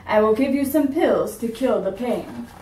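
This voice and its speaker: A fussy, stingy dentist who speaks in a curt, haughty way and pitches up sharply, as a woman reading a storybook acts him out. The sound is roomy and a little distant from the mic. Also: lively intonation, measured pace, warm tone, a little hiss or hum. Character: fussy, stingy middle-aged male dentist.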